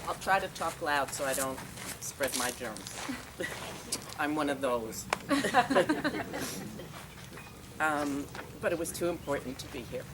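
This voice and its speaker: A man's voice: a little high, very animated, slow, pauses often. A man making informal remarks to a gathering at an outdoor memorial. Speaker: memorial speaker. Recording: very noisy outdoor recording.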